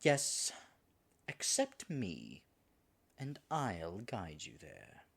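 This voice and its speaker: A man's voice: low voice, huge pitch swings, extremely slow, gravelly, husky, full character voice. A man acting in an audio roleplay as a nervous male dragon disguised as a human guide, stammering and muttering asides to himself. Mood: persuasive, composed.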